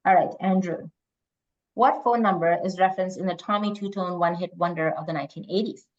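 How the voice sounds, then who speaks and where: A woman's voice: lowish voice, fairly quick. A woman hosting a quiz, reading out questions and chatting casually with the players. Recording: dull lo-fi online call audio.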